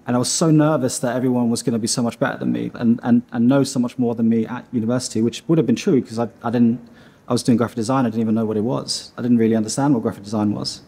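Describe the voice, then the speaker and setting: A man in his thirties, from Iceland, talks through a seated interview in a dim studio room. His voice is warm and mellow with a slightly husky edge. He rambles and restarts, leaning heavily on fillers. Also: mid-range voice, steady tone, very fast. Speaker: animation studio founder.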